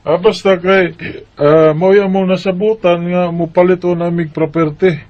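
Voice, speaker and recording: Actor as an earnest, higher-voiced Bisaya man. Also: little pitch movement, normal speed, lightly acted. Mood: defensive, hesitant. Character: earnest Bisaya man saving for land and marriage. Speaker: radio drama lead and letter narrator. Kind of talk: Bisaya radio drama acting. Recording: dull lo-fi radio drama recording.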